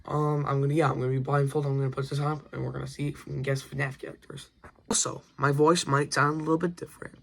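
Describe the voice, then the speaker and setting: A man hosting a casual guessing-game vlog, informal and excitable. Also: mid-range voice, quick pace, vocal fry, a little hiss or hum. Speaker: video host.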